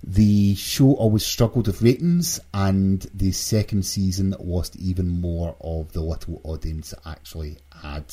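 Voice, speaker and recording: A man's voice: low-pitched, fairly even intonation, unhurried, hardly any pauses, mellow. A man hosting a casual podcast, reading out news and giving his opinions in a dry, blunt way. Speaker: podcast host.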